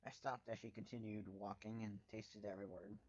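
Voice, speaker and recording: A man reading fan fiction aloud as a dramatic first-person narrator, with a wry, expressive delivery. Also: lowish voice, a little fast, no echo, dull audio.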